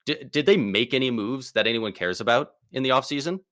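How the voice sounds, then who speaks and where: A man co-hosting a casual sports podcast, excitable and slangy, speaking with emphatic bursts. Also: mid-range voice, a little fast, no room sound, studio quality. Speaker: podcast co-host.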